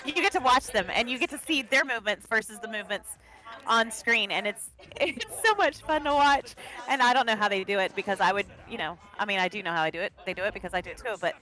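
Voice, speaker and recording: A woman's voice: mid-range voice, fast talker, sharp tone, low-quality recording. A woman co-casting a live esports match, chatty and enthusiastic. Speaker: esports co-caster. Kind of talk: live commentary and banter. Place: live event venue.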